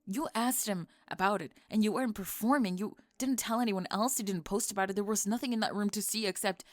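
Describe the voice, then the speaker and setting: Woman acting as a young goth college girl, sharp-tongued, flustered, clipped emphatic phrasing, mid-range pitch, dry close recording, ASMR roleplay drama. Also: a little fast, no room sound, lightly acted. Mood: earnest, confessing. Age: young adult. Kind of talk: ASMR roleplay monologue, intimate audio drama.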